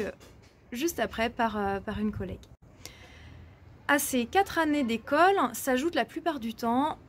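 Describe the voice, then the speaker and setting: Woman teacher, somewhat high, faint room noise, explanatory. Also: darkish tone. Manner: explanatory, some hesitation. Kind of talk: presentation to prospective students.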